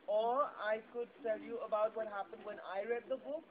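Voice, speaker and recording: A man's voice: high-pitched, fairly even intonation, normal speed. A man with an Indian accent playfully addressing children as a puppeteer, often asking them questions. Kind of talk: interactive children's puppet show. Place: public book festival event with children. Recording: tinny lo-fi phone video, noisy crowd.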